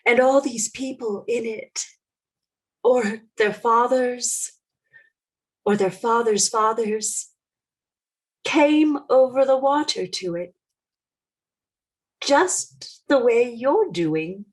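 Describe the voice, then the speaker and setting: An actress playing an emotional, idealistic woman in a 1930s radio play, halting and tremulous. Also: fairly high pitch, rough recording. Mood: tender, earnest. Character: emotional, idealistic American woman addressing refugee children.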